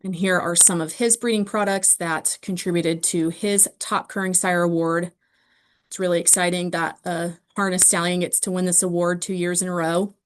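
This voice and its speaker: A woman's voice: fairly low pitch, steady tone, moderate pace, a little hiss or hum. A woman presenting an online awards ceremony, steadily listing winners and their breeding lines with frequent ums, speaking over a video call.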